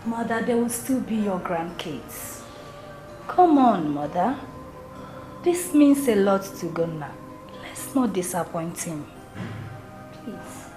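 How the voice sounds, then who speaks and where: A princess sweet-talking her mom, lively voice, music under. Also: medium pitch, slow pace, long pauses, rough recording. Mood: coaxing. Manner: gently persuasive. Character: royal daughter coaxing her mother.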